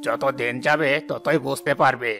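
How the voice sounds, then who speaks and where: A man's voice: medium pitch, steady tone, normal speed, gravelly, music in the background, natural voice. An actor playing a scheming, domineering older village headman in a cartoon, with a menacing way of talking. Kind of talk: cartoon dialogue.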